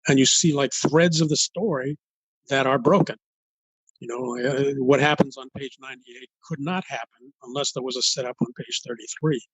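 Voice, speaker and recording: An older man from Los Angeles in an interview as a guest author, speaking in a halting, thoughtful way and building his points step by step. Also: mid-range voice, steady tone, breathy edge, no echo, poor audio. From United States, Los Angeles. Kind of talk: interview conversation.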